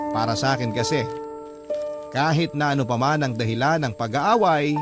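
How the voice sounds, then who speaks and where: A man acting as a young Filipino letter writer telling his love story. His mid-pitched voice is earnest, measured and confiding, in scripted radio-style narration. Music plays clearly underneath. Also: lightly acted. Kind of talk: first-person scripted dramatic narration.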